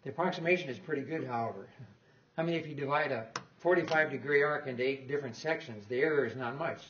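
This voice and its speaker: A man's voice: mid-range voice, fast talker, a bit bright, highs cut off, a little hiss or hum. Older man lecturing, rambling and chuckling. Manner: rambling, self-correcting, with small chuckles. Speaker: guest lecturer on loudspeaker design. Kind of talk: informal technical presentation. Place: lecture room.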